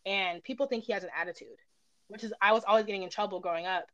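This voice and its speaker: A woman's voice: medium pitch, quick pace, a bit bright, no echo, muffled recording. Candid woman chatting, close dry sound. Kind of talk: casual personal conversation.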